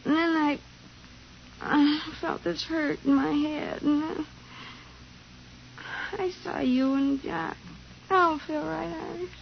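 Dull vintage radio sound. a dazed, frightened young wife with a high voice that breaks into creak. She speaks haltingly and fragile, in old melodrama style. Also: steady tone, exaggerated voice. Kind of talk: 1950s radio melodrama acting. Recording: muffled, slightly noisy vintage radio recording.

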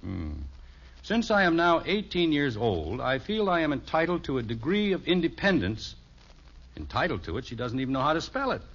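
Husky, wry American radio dad. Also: male voice, on the high side, sing-song, moderate pace, a bit bright, exaggerated voice. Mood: wry, amused. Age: middle-aged. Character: wry, easygoing suburban father of teenagers. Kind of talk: 1950s radio sitcom acting. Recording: muffled old radio recording.

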